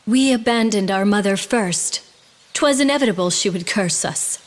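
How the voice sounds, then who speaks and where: A woman's voice: mid-range voice, moderate pace, close to an everyday voice. Woman playing a dignified young princess, reverberant sound. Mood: sorrowful. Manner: earnest, formal, archaic phrasing. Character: sorrowful, dignified young royal woman, gentle but resolute. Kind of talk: theatrical fantasy game drama.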